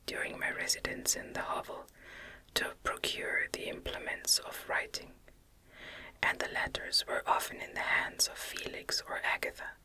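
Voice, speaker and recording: Female ASMR reader for gothic fiction: fully whispered, unhurried, gentle and measured delivery. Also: low-quality recording. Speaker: audiobook reader.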